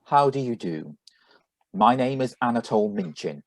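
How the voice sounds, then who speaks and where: A man's voice: mid-range voice, expressive, normal speed, no room sound, lightly acted. A man playing a shady, insistent little stranger in a radio play reading, formal and courteous with menace underneath. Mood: oily, polite.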